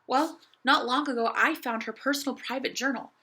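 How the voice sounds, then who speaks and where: A woman's voice: sits high, fairly even intonation, brisk, bright, forceful tone, voice pushed up. A woman voicing a young girl doll in a children's story, eager, earnest and confiding, restless for adventure. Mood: secretive, excited. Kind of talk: children's audiobook character voice.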